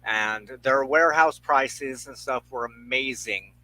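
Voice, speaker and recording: A middle-aged man speaks in a bright, forceful voice of medium pitch. His casual recollection comes slowly, with halting, thoughtful pauses. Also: poor audio. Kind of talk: casual conversation, personal recollection.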